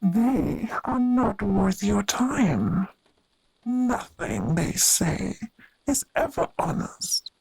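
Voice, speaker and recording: A woman playing a sinister, seductive inner voice that coaxes and feeds obsession in a horror radio drama, husky and breathy. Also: mid-range voice, very animated, very slow, darkish tone, dry recording, heavily acted, low-quality recording. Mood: coaxing, scornful. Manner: coaxing, insistent.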